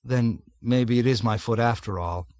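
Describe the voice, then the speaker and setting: A man's voice: mid-range voice, moderate pace, a little mellow, no echo, close to an everyday voice. A man voicing a nervous army major who answers his colonel in a fawning, flustered way. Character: flustered male army major, eager to please.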